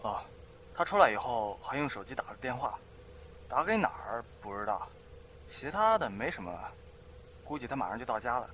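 A man's voice: mid-range voice, very animated, normal speed, a bit bright. A man playing a deferential henchman giving a report in an amateur audio drama, on a noisy recording. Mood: dutiful. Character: deferential male henchman reporting to his boss.

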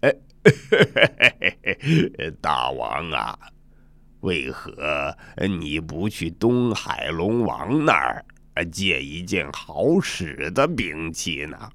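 Man doing a slow, chuckling old monkey. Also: very deep voice, big pitch swings, vocal fry, sharp tone, dry recording, voice pushed down, exaggerated voice. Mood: amused, deferential. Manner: chuckling, deferential.

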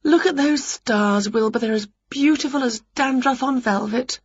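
A woman voicing an excitable, bossy, scatterbrained witch in a children's story, full of exclamations and mock swearing. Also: high-pitched, normal speed, breathy edge, no echo, highs cut off, pitched above the performer's own voice. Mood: pleased.

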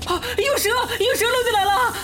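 A woman's voice: extremely high pitch, moderate pace, noisy background. A woman playing a cowardly, hysterical, whiny young character in an audio drama. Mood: terrified.

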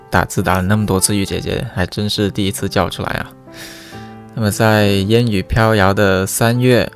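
Low, creaky young man over soft music. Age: young adult. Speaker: radio programme presenter sending wedding wishes. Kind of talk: heartfelt spoken personal message. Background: guitar music.

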